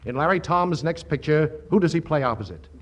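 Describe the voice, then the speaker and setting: An actor playing an effusive, wisecracking American Hollywood screenwriter with theatrical enthusiasm, in 1940s radio comedy style. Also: male voice, a little high, expressive, brisk. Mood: inspired, urgent. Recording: faintly noisy radio recording, studio audience.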